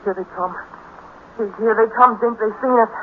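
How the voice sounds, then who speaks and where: A man acting an earnest, excitable boy in a 1950s radio drama, speaking in an urgent, pleading, insistent way. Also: high voice, normal speed, natural voice. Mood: tense. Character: earnest, excitable boy set on saving the world. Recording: noisy, narrow-band 1950s radio recording.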